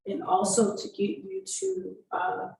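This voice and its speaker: A woman speaks as a panelist in an echoey, slightly noisy room. Her delivery is very slow and earnest, with pauses in the middle of phrases. The microphone is some way off. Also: mid-range voice, big pitch swings, gravelly, darkish tone. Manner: halting, pausing mid-phrase, earnest. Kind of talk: reflective panel remarks. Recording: echoey room, distant mic, background noise.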